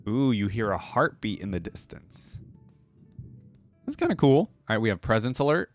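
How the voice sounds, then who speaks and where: A man's voice: fairly low pitch, very animated, moderate pace, faint music behind. A young man giving casual, slangy reaction commentary, musing aloud as he goes. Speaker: reaction video host.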